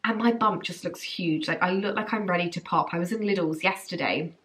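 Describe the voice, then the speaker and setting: A woman's voice: fairly low pitch, fairly quick, a bit bright. A young woman with a cold, sounding a bit nasal and congested, chatting casually to camera with lots of ums and likes. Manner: rambling, many ums and likes. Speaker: vlogger.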